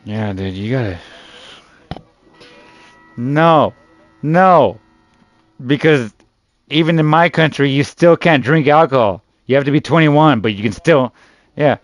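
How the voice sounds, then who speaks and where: A man's voice: medium pitch, lively intonation, measured pace, pauses often, no room sound. A man in his early thirties in casual, teasing chat with gaming friends, loose and slangy, calling people "dude" and "bro" and swearing now and then. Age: 30s. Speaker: gaming streamer.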